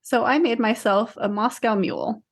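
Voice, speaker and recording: A woman's voice: a little high, normal speed. A woman co-hosting a podcast in casual chat, matter-of-fact with a dry, teasing streak. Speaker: podcast co-host.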